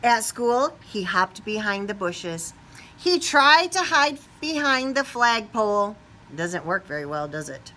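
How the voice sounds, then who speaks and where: A woman reading a picture book aloud to young children expressively, pausing to put questions to them. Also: mid-range voice. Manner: animated, asks the young listeners questions.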